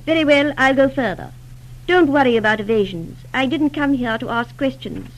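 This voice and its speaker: Female aristocrat for vintage radio drama: high voice, formal, imperious, urgently insistent. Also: moderate pace, low-quality recording. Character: poised, insistent aristocratic lady with a secret. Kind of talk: 1940s radio acting.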